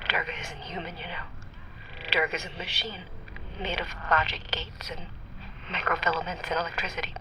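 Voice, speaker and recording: An actress in a radio drama playing a traumatized machine intelligence who was once a child soldier, speaking haltingly and slipping into whispers. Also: female voice, on the low side, little pitch movement, noisy background, close to an everyday voice. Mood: bleak. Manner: halting, repetitive, drifting into whispers.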